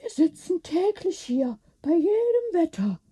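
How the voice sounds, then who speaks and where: A woman's voice: extremely high pitch, big pitch swings, unhurried, dark tone, pitched above the performer's own voice, exaggerated voice. A woman voicing a small, chatty old lady neighbour in a storybook, eagerly gossiping.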